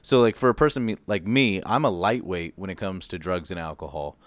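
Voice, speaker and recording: A man with a fairly low, clear voice chats as a podcast co-host. He explains things casually and loosely, with mild slang and a self-deprecating streak. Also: a little fast, no echo. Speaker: podcast co-host presenting research.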